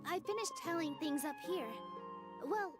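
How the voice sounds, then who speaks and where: A woman voicing a sweet, gracious young dancer in a video game, gentle and earnest. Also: very high-pitched, measured pace, loud music behind the voice.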